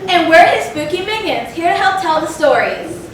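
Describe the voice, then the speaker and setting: A woman's voice: very high voice, sing-song, moderate pace, slight background noise. A woman playing a mock radio ad reader and spooky minion in a live readers theatre comedy. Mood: eager. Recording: very echoey theater, distant, loud music.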